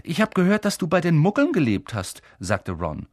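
A man's voice: on the high side, huge pitch swings, moderate pace, darkish tone, no echo, clean studio sound, pitched above the performer's own voice, exaggerated voice. A man voicing a curious, shy eleven-year-old boy who talks in eager bursts. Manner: eager, blurting.

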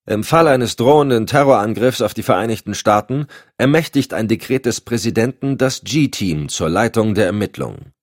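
A man acting out commanding agency chief, clipped, sardonic, easily irritated, lively intonation, audiobook drama. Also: on the low side, moderate pace, hardly any pauses, dry recording, studio quality, voice pushed up. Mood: matter-of-fact. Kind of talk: audiobook dialogue.

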